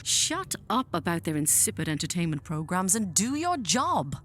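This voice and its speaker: A woman playing a commanding alien general in a scripted audio drama, speaking in a formal, measured, imperious manner. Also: fairly low pitch, big pitch swings, heavy background noise. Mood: irritated. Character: commanding alien female general, proud, coldly persuasive mother.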